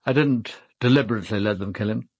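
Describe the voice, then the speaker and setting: An actor playing a guarded, middle-aged British former army officer in a radio drama, speaking in a clipped, restrained way. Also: male voice, fairly low pitch, fast, breathy edge, no echo, lo-fi sound. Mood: defensive.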